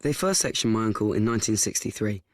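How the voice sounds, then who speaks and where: Dry, close-up awkward schoolboy. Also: male voice, fairly low pitch, lively intonation, fast talker, dry recording. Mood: earnest, confiding. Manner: hesitant, rambling, self-conscious. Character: awkward, nervous, earnest teenage schoolboy. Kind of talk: radio play acting with confiding narration.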